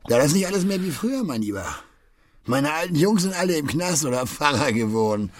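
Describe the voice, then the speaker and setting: A man's voice: a little high, big pitch swings, fairly quick, background noise. Middle-aged male pimp, radio drama. Bossy street slang, fatherly condescension over open menace. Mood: jovial, menacing. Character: jovially menacing middle-aged red-light district pimp. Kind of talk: radio play dialogue.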